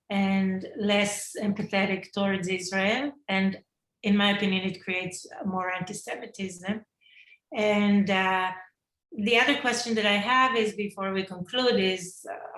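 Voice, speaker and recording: A woman host poses long questions in a slow, deliberate way. Her pitch is middle, and her voice sounds echoey in the room. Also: fairly bright tone. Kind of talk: interview questions.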